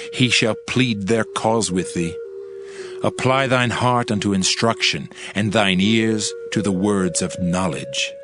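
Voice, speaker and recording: Man, low voice, solemn oratorical scripture reading, dramatized delivery, prominent music bed. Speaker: scripture reader. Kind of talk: dramatized audio Bible reading. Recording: music behind the voice.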